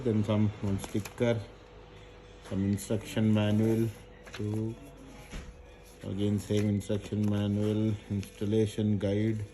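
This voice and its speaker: A man doing a casual, unscripted product unboxing, haltingly thinking aloud as he goes. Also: lowish voice. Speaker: unboxing presenter. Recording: noisy recording with crinkling packaging. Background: handling and crinkling of packaging.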